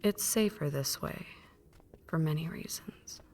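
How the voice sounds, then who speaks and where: A woman playing a possessive, menacing vampire mistress in an intimate roleplay, teasing and manipulative, often slipping into breathy whispers. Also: sits low, measured pace, a little mellow. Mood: cool, controlling. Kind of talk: intimate ASMR roleplay monologue.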